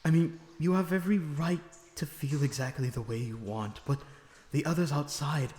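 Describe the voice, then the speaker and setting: A man playing a lonesome, remorseful, gentle vampire prisoner in an audio roleplay, speaking in an earnest, halting, emotional way. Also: on the high side, lightly acted. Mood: concerned, shocked. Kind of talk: dramatic audio roleplay acting. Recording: echoey reverberant dungeon-like sound, background noise.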